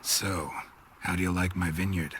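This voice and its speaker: An actor with an American accent playing a gruff, laconic monster hunter in a video game, dry-witted and affectionate with his lover, in a gravelly voice. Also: fairly even intonation, normal speed, a bit bright, slight background noise. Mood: relaxed, wry. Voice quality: gravelly, low. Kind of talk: video game cutscene acting.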